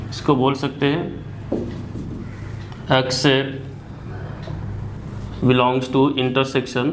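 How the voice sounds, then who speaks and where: An Indian man teaching a maths lesson, mixing Hindi with slowly dictated English notation and often restarting phrases. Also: mid-range voice, a bit bright, a little hiss or hum, low-quality recording.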